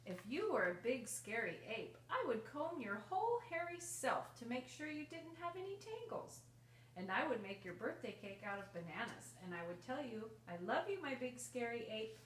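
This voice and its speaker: A woman's voice: a little high, big pitch swings, moderate pace. A woman voicing a loving, patient storybook mother with a tender, singsong, reassuring manner. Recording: roomy, distant mic, faint noise.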